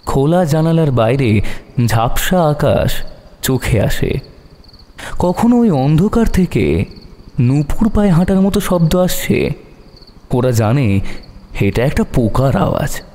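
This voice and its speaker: A man narrating a horror story dramatically, with suspense and long pauses. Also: fairly high pitch, very animated, mellow. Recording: long hall reverb over music.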